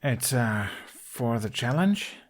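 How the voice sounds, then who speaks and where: A man's voice: medium pitch, sing-song, slow pace. A man voicing a nervous, sarcastic young runaway royal with a hesitant, dry, easily flustered way of talking, in an audio story. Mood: hesitant, guarded.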